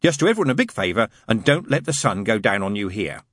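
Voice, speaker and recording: A man's voice: fairly low pitch, very animated, fast, a bit bright, dry recording, studio quality. A man voicing a fussy, fretful angel. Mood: flustered. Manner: flustered, hesitant, apologetic. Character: fussy anxious male angel, prim and fretful.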